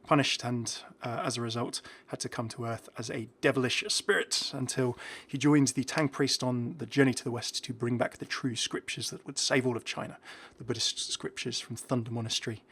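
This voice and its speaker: A man's voice: mid-range voice, steady tone, moderate pace, a bit bright, breathy edge. A man giving casual, enthusiastic game commentary in an informal, chuckly way. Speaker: video creator presenting game content.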